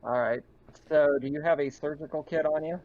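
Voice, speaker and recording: A man's voice: fairly high pitch, fairly even intonation, brisk. Man running a game, dull lo-fi sound. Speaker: game master. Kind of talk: narrating a tabletop roleplaying game, casual.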